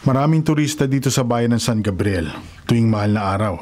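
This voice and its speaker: A man's voice: mid-range voice, fairly quick, a little mellow, close to an everyday voice. A man playing a kindly, devout carpenter and grandfather in a radio drama, speaking earnestly with a Filipino accent. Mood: warm, hospitable.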